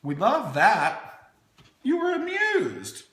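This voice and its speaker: A man's voice: high-pitched, huge pitch swings, slow, fairly bright tone. A man hosting a playful storytime, reading a children's book with wry, chatty asides in a theatrical way, as if talking to small children. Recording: echoey room.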